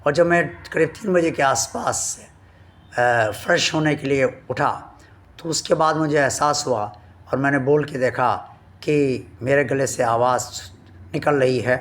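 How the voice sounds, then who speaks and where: A man hosting a devotional channel, speaking to his viewers slowly and earnestly, his voice still weak from a sore throat. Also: mid-range voice, a bit bright. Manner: slow, earnest and devout, addressing viewers formally. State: recovering from a sore throat, voice not at full strength.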